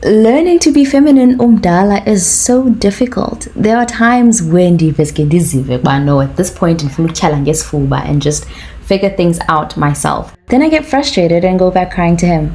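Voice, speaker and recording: A woman's voice: lowish voice, expressive, normal speed, warm tone. A young woman with a South African Xhosa accent chatting casually for a personal vlog, slipping between English and isiXhosa. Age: young adult. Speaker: vlogger.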